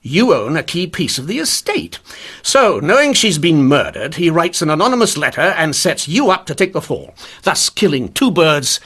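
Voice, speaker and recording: An actor playing a shrewd, sardonic police inspector in a radio mystery, commanding and dryly sarcastic. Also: male voice, fairly high pitch, big pitch swings, normal speed, fairly bright tone, husky, exaggerated voice. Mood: intent, deducing. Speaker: actor in lead role. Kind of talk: radio mystery drama acting.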